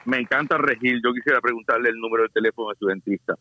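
A man's voice: medium pitch, racing, dull audio, some chatter behind, a little hiss or hum. A man from Venezuela joking around as a guest on a podcast, with playful, exaggerated self-mockery. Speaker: guest commentator. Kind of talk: joking casual chat.

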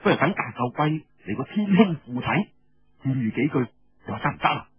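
A man's voice: a little high, lively intonation, fairly quick, husky, muffled recording, soft background music. A man voicing a sly, amused rebel leader in oral storytelling, with a Cantonese accent and a drawling, teasing manner.

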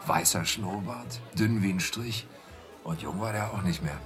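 An actor playing a rough, sly working-class London carter who rambles colloquially and deferentially in a radio drama. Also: male voice, low voice, unhurried, music in the background. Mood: chuckling, reminiscing. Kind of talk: German radio drama acting.

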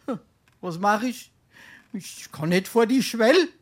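An actor playing a shameless, wheedling, penniless tippler in Darmstadt dialect, theatrically swinging between mutters and exclamations in a stage comedy. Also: male voice, high-pitched, lively intonation, measured pace. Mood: lamenting. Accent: Hessian, Darmstadt dialect.

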